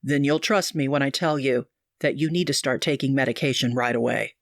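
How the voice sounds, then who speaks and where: A woman voicing an earnest young male doctor who speaks low, grave and pleading. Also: fairly quick, no room sound, clean studio sound. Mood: urgent.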